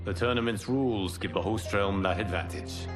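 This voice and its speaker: An actor playing a calm, solemn fire god and mentor in a video game, speaking in a measured, formal way. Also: male voice, lowish voice, over music, natural voice. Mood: grave, instructive.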